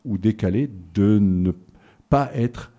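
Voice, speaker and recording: A middle-aged man from southern France answers interview questions in a very low, dark and mellow voice. He speaks hesitantly, pausing on fillers and restarting his sentences as he recalls his past. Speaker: interview guest. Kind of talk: relaxed conversational interview.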